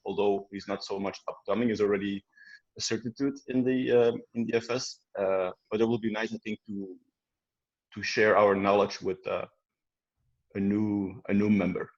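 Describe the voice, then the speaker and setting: A man on a video call speaks in a low voice, hesitating often and filling his pauses. The call audio is muffled and lo-fi. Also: level tone, darkish tone. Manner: hesitant, many fillers. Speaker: founding team member.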